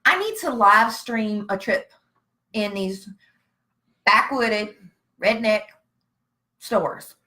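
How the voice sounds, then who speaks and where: A woman's voice: mid-range voice, takes it slowly, pauses often, sharp tone, rough recording. A woman from Virginia with a Southern US accent, chatting and telling stories in a blunt, folksy way. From Virginia, United States. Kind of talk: casual chat, storytelling.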